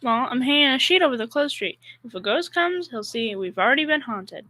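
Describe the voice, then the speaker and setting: A very high, girlish voice of a student actress brings out a naive young secretary in an old-time radio comedy. She is sweet, earnest and dim, taking everything at face value. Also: animated, a little fast, bright, forceful tone, heavily acted. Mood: earnest, clueless. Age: middle school student.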